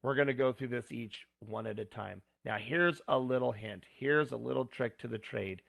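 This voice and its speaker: A man teaching, talking step by step through homework problems with plain asides, his voice going hoarse. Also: mid-range voice, moderate pace, a bit bright, dry recording. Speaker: instructor. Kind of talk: screen-recorded homework walkthrough.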